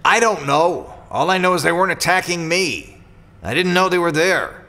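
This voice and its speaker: An actor playing a boastful, touchy freelance mercenary in a science-fiction audio drama, speaking in a blunt, defensive way. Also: male voice, high-pitched, lively intonation, moderate pace, sharp tone, heavily acted. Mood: evasive.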